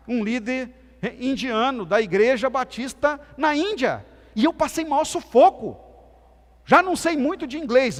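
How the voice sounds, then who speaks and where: A man from Minas Gerais with a softened Minas Gerais accent, preaching a sermon as pastor with emphatic swings between loud and soft delivery. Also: extremely high pitch. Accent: Brazilian Portuguese, softened Minas Gerais. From Minas Gerais, Brazil. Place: church sanctuary. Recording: church hall reverb.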